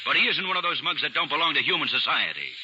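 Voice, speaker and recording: An actor playing a stern assistant district attorney who presses and persuades firmly, in 1940s radio acting. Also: male voice, fairly high pitch, sing-song, fast, bright, forceful tone, exaggerated voice. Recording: muffled 1940s radio broadcast with hiss.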